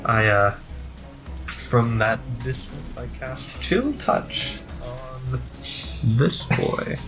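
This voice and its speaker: A man's voice: mid-range voice, drawn-out words, poor audio. A man speaking casually as a player in a tabletop game, announcing his moves. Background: music.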